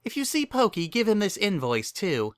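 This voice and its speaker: A motherly middle-aged mare who runs a cartoon bakery, acted by a man. The voice is lifted high, mild and indulgent, kindly but a little distracted. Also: huge pitch swings, normal speed, dry recording, clean studio sound. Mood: businesslike.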